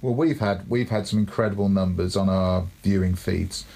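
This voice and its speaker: A man's voice: deep voice, normal speed, vocal fry, warm tone. A man co-hosting a cricket podcast, giving coaching advice in a thoughtful, casual way and repeating words as he thinks. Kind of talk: casual chat.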